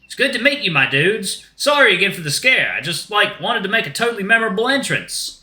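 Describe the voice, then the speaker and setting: A man's voice: high voice, sing-song, a little fast, sharp tone, echoey room, voice pushed up, heavily acted. A man voicing a laid-back, upbeat shark who talks in slangy surfer-dude speak, in an audiobook-style story. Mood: friendly, excited. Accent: put-on Australian.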